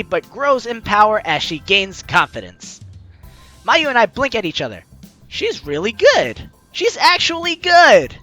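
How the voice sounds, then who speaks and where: A playful, slangy gaming host reading a visual novel aloud and reacting in an animated way. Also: mid-range voice. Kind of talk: lively let's play, reading aloud and reacting. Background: game music.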